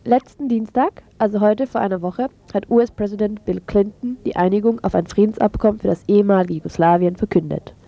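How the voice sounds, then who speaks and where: A woman's voice: medium pitch, a little fast, creaky, few pauses, mellow, lo-fi sound. A woman radio presenter reading a scripted press review.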